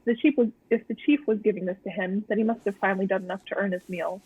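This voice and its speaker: A woman with a husky, breathy edge reads a story in a casual, understated way. Her delivery is deadpan, and the sound is close, dry and a little lo-fi. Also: medium pitch, fast, dry recording. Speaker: co-host of a fan fiction read-aloud stream. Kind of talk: story reading and casual chat.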